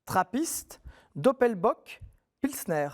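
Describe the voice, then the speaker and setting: A man hosting a televised quiz show, reading questions clearly and encouraging contestants in a friendly way. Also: high voice, very animated, extremely slow, darkish tone.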